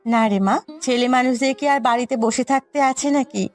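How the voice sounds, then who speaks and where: A caring village mother in a cartoon, played by a woman. She chides and soothes in a high voice, over faint music. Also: fairly even intonation, brisk, close to an everyday voice. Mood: soothing, explaining. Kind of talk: Bengali moral cartoon dubbing.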